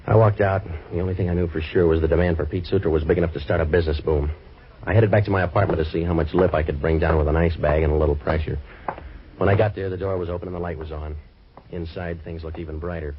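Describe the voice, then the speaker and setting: A man plays a hard-boiled detective who fires off deadpan wisecracks in a very low voice and a rapid delivery. It has the dull, narrow sound of an old radio broadcast with faint hiss. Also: natural voice. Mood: narrating. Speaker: private detective and narrator. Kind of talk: 1940s radio acting.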